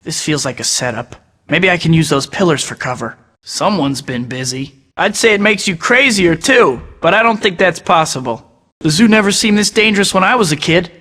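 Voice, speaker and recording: A man's voice: high voice, expressive, normal speed, fairly bright tone, long reverb tail. An actor voicing a young male superhero who tosses out sarcastic taunts in a video game. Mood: muttering. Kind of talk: game voice clips.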